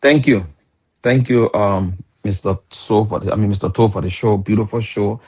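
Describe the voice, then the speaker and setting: A Liberian man in his fifties with a husky, breathy voice argues politics on a radio panel. He talks animatedly, stumbling over words and laughing mid-sentence, in a Liberian English accent. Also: on the low side, fairly even intonation, normal speed, a little mellow. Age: 50s. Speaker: radio talk show panelist. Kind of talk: heated political debate.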